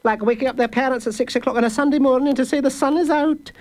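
A man's voice: very high-pitched, quick pace, darkish tone, voice pushed up. A man voicing a cruel, sneering prison guard who snarls insults at a child.

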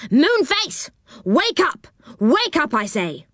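What's-His-Name, a woman's loud indignant scolder. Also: a little high, big pitch swings, moderate pace, no echo, clean studio sound, pitched above the performer's own voice. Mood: angry, scolding. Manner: shouting, scolding. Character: bad-tempered scolding storybook man, loud and indignant.

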